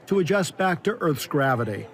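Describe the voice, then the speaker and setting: A man with a fairly high voice narrates a news package. His delivery is crisp and scripted, in a steady reporting style. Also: normal speed.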